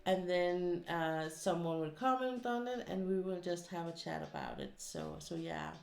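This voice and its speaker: A woman's voice: on the low side, very animated, measured pace, vocal fry, a little mellow. Danish woman rambling in an echoey room. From Denmark. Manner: rambling, with many fillers and restarts. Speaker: streamer. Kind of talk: casual chat while crafting.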